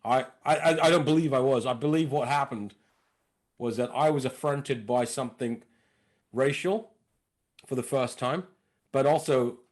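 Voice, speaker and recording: A male comedian telling stories in a casual interview, lively, acting out the other people's lines. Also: mid-range voice. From lives in North London. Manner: animated, acts out dialogue in put-on voices. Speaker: comedian and impressionist, guest.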